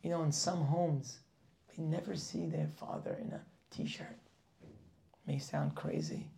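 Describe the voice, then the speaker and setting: A man's voice: fairly high pitch, huge pitch swings, a little slow, gravelly, warm tone. A man teaching a weekly Torah class, preaching with passion, firing rhetorical questions and sometimes dropping to a near whisper.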